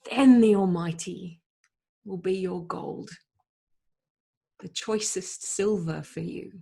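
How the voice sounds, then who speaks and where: A woman playing a solemn, pious counselor who exhorts with deliberate, emphatic phrasing in a dramatic scripture reading. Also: medium pitch, darkish tone, slightly rough.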